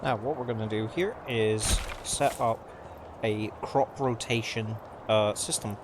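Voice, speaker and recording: A man giving casual, subdued gameplay commentary in halting phrases, his voice slightly off from a sore throat. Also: lowish voice, steady tone. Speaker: video game let's player. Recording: clearly noisy background.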